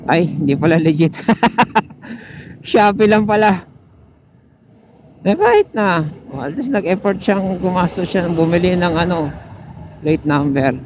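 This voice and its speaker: A man's voice: sits high, animated, measured pace, long pauses. A Filipino man giving casual, chatty riding commentary, thinking aloud as he goes. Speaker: motorcycle vlogger. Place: outdoors on a motorcycle in traffic. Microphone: helmet intercom microphone. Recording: muffled helmet intercom mic with vehicle noise. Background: faint vehicle and road noise.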